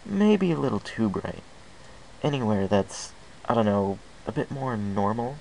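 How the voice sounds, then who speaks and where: A man's voice: lowish voice, lively intonation, measured pace, creaky, mellow, low-quality recording. A man voicing a restless, self-doubting young male pony in an amateur story reading. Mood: hesitant. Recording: hissy amateur home recording.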